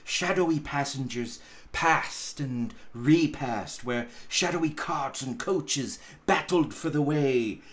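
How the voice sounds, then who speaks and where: A man reading a classic story aloud as narrator, with deliberate, dramatic phrasing. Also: medium pitch.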